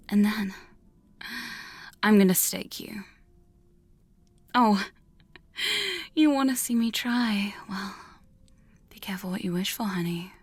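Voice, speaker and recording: Breathy, taunting vampire huntress acted by a woman. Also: medium pitch. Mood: taunting, sly. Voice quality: breathy, whispery. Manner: taunting, intimate, drawn-out phrasing with sudden firmer lines. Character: defiant, teasing female vampire hunter, sly and coaxing. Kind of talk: ASMR hypnosis roleplay, second-person. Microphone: close-up studio condenser and binaural microphone.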